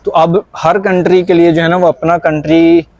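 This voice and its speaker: A man's voice: fairly high pitch, flat delivery, moderate pace, a little mellow. A man with a Pakistani accent talking in a conversational discussion, explaining earnestly and repeating phrases for emphasis.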